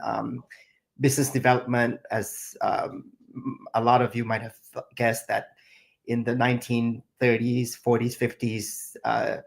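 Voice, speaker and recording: A man's voice: mid-range voice, vocal fry. A middle-aged man from Thailand and Singapore, a chef answering interview questions in a reflective way, with many fillers. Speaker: chef and guest.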